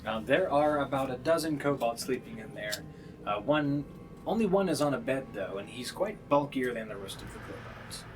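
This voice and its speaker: A man's voice: mid-range voice, expressive, moderate pace, music in the background. A man improvising narration as the game master of a tabletop game.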